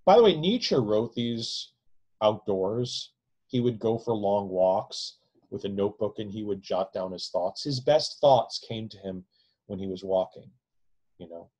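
A man in his forties lectures casually from a room at home, talking straight into a close webcam. His voice sits a little low, and he wanders into asides and tags his points with "right?". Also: darkish tone, husky. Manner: discursive, frequent "right?" tag questions. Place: home room with bookshelves, indoors. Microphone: webcam at close range.

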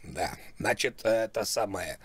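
A man acts out a shameless older male customer in a prank call. The voice is low and husky. He rambles, repeats himself and keeps up a mock-apologetic, oversharing manner. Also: animated, a little slow, heavily acted. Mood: blunt, deadpan. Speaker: prank caller. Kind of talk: prank phone call, improvised.

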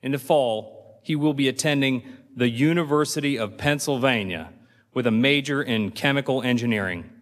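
A middle-aged man formally introducing a student speaker at a ceremony, with a measured, formal reading manner. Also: mid-range voice. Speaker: school official introducing the speaker. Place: large school auditorium stage. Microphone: podium microphone. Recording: reverberant hall.

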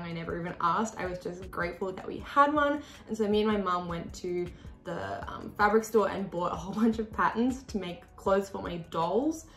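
Chatty young woman in an echoey room. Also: fairly low pitch. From Australia. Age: 20s. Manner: chatty, expressive hand gestures. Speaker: sewing tutorial host. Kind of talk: casual tutorial talk to camera. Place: small room at a desk with a sewing machine.

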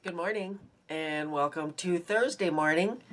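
Slow-talking stuffy older woman. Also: on the low side, a bit bright. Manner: rambling, chatty, frequent ums and uhs. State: stuffy nose. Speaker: beauty vlogger. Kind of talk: casual daily makeup chat. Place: small home room indoors. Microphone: webcam at close range.

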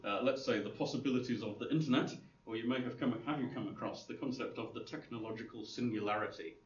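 A man's voice: medium pitch, quick pace. A man lecturing to a class in a loose, rambling way, full of ums and restarts, with a dry humor. He sounds distant and roomy, as if caught from across a hall. The recording is dull and lo-fi, with a faint hiss. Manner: rambling, hesitant, many fillers and restarts. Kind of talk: informal lecture with slides.

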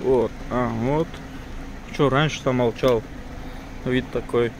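Excitable man filming from a car. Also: medium pitch, takes it slowly. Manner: excited exclamations, joking, admits a frightened voice. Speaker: vlogger filming a drive. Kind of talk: casual running commentary. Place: inside an off-road car on a muddy mountain track. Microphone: phone camera held in the passenger seat. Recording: loud engine noise in a car.

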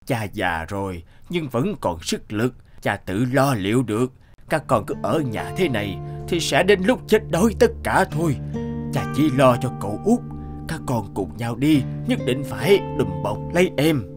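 An actor playing a weary, caring old peasant father in a cartoon fairy tale, speaking slowly and gently. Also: mid-range voice, sing-song, music in the background, close to an everyday voice. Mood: weary, resigned. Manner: slow, gentle, earnest.

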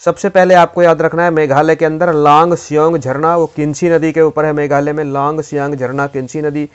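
A man teaches a geography lesson for exam prep. His voice is smooth, a bit higher than most, and he repeats each fact and quizzes the listener. The recording is close but a little dull and lo-fi. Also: flat delivery, fairly quick. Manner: repeats key facts, quizzes the viewer.

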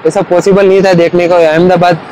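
A young man from Gujarat, a cricket fan, talking eagerly and haltingly in a casual outdoor street interview. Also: high-pitched, level tone, darkish tone, slight background noise, poor audio. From Gujarat, India. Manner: eager, halting, with many restarts.

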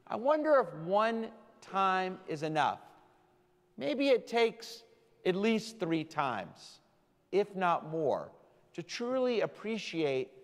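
A man giving a sermon as rabbi to his congregation, speaking with slow, deliberate emphasis. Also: on the high side. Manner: warm, deliberate preaching with slowed emphasis. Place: large synagogue sanctuary. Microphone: stand mic from a far camera. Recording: long hall reverb.

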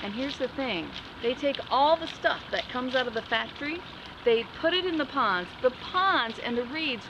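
A woman in her thirties hosting a garden vlog outdoors, talking to the camera with excited exclamations. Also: high voice. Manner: enthusiastic, exclamatory. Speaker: vlog host. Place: outdoor garden beside a house. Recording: outdoor camera audio with clear background noise.

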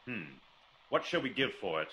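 An actor playing a keen, commanding detective, probing and theatrical, in a classic radio drama. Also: male voice, mid-range voice, big pitch swings, normal speed, sharp tone. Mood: inquisitive. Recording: noisy old radio recording.

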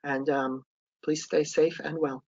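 A man's voice: a little high, little pitch movement, measured pace, highs cut off. A man, a historic site interpreter, presenting and answering questions on a webinar, hesitant, with many ums and uhs.

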